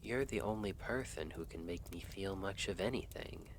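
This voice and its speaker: Man playing a brooding assassin in love, low pitch, soft half-whispers, earnest formal speech, ASMR roleplay, noisy background. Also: normal speed. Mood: grave. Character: lovestruck male assassin, brooding, earnest, devoted. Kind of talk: ASMR roleplay, intimate dramatic monologue.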